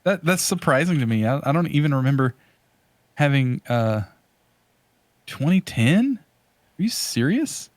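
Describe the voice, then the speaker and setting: Animated man in casual podcast conversation. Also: on the high side, a little slow, long pauses, dry recording. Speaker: podcast co-host.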